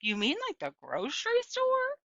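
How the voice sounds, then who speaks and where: A woman's voice: high voice, huge pitch swings, moderate pace, a bit bright, dry recording, highs cut off, voice pushed up, heavily acted. A woman voicing a kindly, toothless old woman in a storybook, welcoming and folksy in her way of talking. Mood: friendly, surprised. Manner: folksy, rural turns of phrase.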